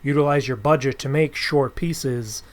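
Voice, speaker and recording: A man with a middle-pitched voice hosts an interview podcast. He speaks informally and conversationally, putting questions and comments to a guest. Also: normal speed. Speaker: podcast host. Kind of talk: casual interview chat.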